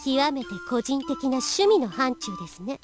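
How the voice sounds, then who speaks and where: A woman acting youthful, expressive high-school girls in a Japanese visual novel. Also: fast. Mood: stern. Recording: prominent game music behind dialogue.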